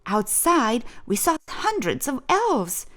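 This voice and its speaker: Woman, children's storybook reader, unhurried pace, animated pitch, deliberate dramatic phrasing. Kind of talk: expressive read-aloud storytelling.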